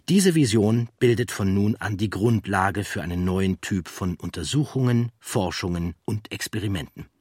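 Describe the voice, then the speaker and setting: A man reading scripted documentary narration in a measured, factual way. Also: lowish voice, breathy edge, dry recording, clean studio sound.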